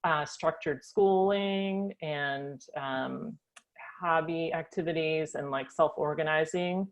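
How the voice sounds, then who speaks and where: An American woman telling her family story as a guest, thoughtful with frequent pauses and ums. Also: low-pitched. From United States. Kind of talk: reflective personal storytelling.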